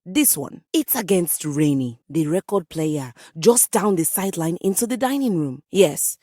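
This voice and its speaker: Fussy living piano lady for a game, lowish husky voice, super formal and dramatic, dry close sound. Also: expressive, unhurried, dark tone, no echo. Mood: indignant, haughty. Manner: formal, theatrical, haughty diction. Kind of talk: video game character dialogue.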